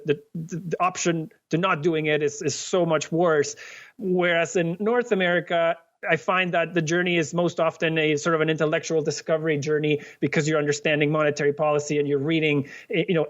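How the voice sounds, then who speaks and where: A man from Venezuela, a company cofounder, answering interview questions earnestly, often pausing with fillers and restarting sentences. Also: fairly high pitch, fairly bright tone, highs cut off.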